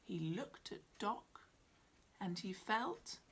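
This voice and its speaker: Slow, lively woman reading a storybook. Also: medium pitch, husky. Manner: dramatic, deliberate. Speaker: author reading own picture book. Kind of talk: expressive children's storybook narration. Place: small cluttered room at home, indoors.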